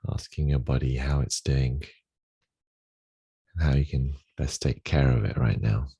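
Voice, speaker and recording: A man with a soft, husky voice guides a meditation, giving gentle instructions with long pauses. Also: a bass voice.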